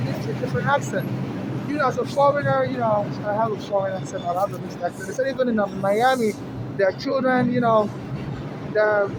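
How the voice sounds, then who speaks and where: A woman rambling through a long personal monologue, halting and restarting often. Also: on the high side, very noisy.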